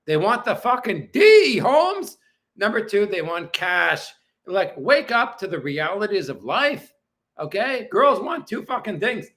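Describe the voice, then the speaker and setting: Guy in his 40s on a livestream, bright forceful voice, swearing a lot, blunt as hell. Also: sits high, very animated, measured pace. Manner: crude, profane and blunt. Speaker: livestream host. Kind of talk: casual livestream chat reading viewer comments. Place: apartment.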